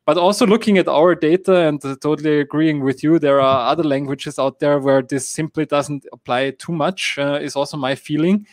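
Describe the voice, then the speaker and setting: A man's voice: fairly high pitch, fairly even intonation, normal speed, few pauses, no echo. A man from Austria whose first language is German, explaining app marketing in a live-stream Q&A with many fillers and hedging phrases. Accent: German-speaking Austrian. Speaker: app marketing guest expert.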